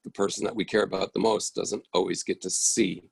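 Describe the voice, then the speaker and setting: Very gravelly, low-voiced man. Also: level tone, fairly quick, no echo. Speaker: audience member giving feedback on a play. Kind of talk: casual, reflective discussion.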